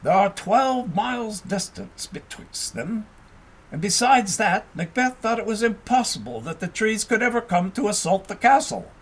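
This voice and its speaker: Male narrator for historical storybook audio: high register, very lively intonation, expressive measured read. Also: low-quality recording.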